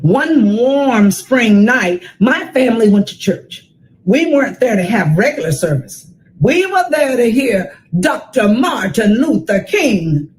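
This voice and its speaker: Slow, animated older American woman storyteller. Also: a little high, rough recording. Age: 60s. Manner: dramatic, deliberate phrasing with emphatic repetition. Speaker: storyteller reading a children's book aloud. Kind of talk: expressive read-aloud storytelling for children.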